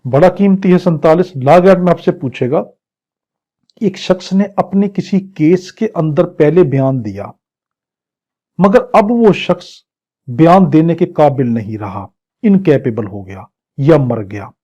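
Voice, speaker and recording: A man teaching law for an exam, reading statute text slowly in English and then explaining it in Urdu with quizzing questions. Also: a little high, warm tone, dull audio. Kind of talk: recorded exam-prep lecture.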